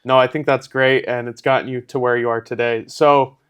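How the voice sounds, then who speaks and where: A man's voice: medium pitch, flat delivery, a little fast, a bit bright, clear, resonant tone. Man asking loose interview questions. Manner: friendly, rambling questions with fillers. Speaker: podcast host.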